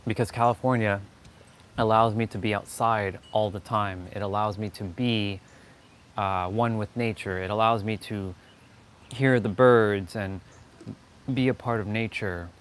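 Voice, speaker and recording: A man's voice: fairly low pitch, fairly even intonation, unhurried. A man with a Canadian accent giving an impromptu, insistent motivational monologue on a livestream, repeating phrases for stress. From Toronto, Canada. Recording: clearly noisy outdoor ambience.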